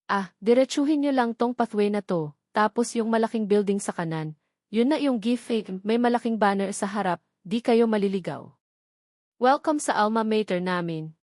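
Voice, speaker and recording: A woman's voice: mid-range voice, normal speed, darkish tone, dry recording, studio quality, natural voice. A woman voicing an earnest, reflective young college woman in an audio love drama, mixing Tagalog and English. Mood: friendly. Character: wistful, regretful young college woman, once angry and rebellious.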